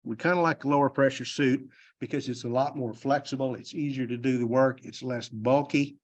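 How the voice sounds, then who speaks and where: A man's voice: medium pitch, steady tone, fairly quick. An older retired man with a Texas accent gives a plainspoken, rambling technical talk at a convention, joking about himself along the way. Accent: Texan. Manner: plainspoken, self-deprecating, loose and rambling. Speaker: conference speaker. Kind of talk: folksy technical presentation. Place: university convention hall.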